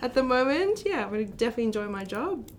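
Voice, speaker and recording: A woman, a guest on a podcast, speaking in a bubbly, animated way. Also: on the high side, very fast, slight background noise. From Australia. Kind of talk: conversational interview.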